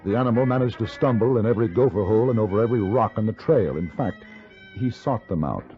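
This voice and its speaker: A man playing a wry, polite English reporter in the West, with a measured, understated delivery in a radio drama. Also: lowish voice, animated, close to an everyday voice. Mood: wry storytelling. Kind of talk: first-person radio drama narration and dialogue. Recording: muffled 1950s radio, music behind.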